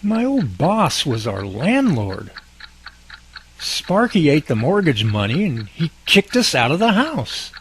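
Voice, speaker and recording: A man's voice: fairly high pitch, wildly swooping pitch, slow pace, breathy edge, exaggerated voice. A man playing an anxious, earnest, hard-up husband in an amateur radio drama, speaking expressively. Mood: worried.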